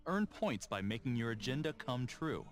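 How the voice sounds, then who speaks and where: A man giving smooth, scripted narration that explains a game's modes to players. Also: medium pitch, big pitch swings, moderate pace, soft background music. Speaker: game narrator. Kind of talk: scripted game tutorial narration.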